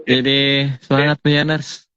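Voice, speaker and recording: A male host at a middle pitch chats casually and agreeably, nodding along with short acknowledgements. He keeps nudging the conversation onward. The sound is dry and somewhat dull, with no room to it. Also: flat delivery, measured pace, pushed and bright, clean tone, dry recording. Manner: agreeable, backchannels and moves things along. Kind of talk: casual podcast chat.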